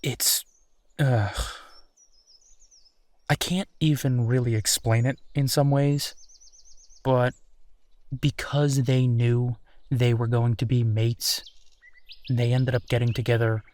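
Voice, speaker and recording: A man playing a candid, awkward werewolf in an intimate audio roleplay, talking in a halting, conversational way with sighs. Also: medium pitch, level tone, darkish tone, no echo, lightly acted. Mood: disgusted, exasperated. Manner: halting, hesitant, sighing, conversational. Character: easygoing young male werewolf, awkward, candid, bitter about rival.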